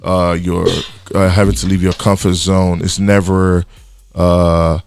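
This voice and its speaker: A man's voice: deep voice, little pitch movement, unhurried, a little mellow, clear, resonant tone. A Nigerian man with a Nigerian accent, talking casually as a guest musician and often restarting and repeating words. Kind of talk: casual interview chat. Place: radio studio.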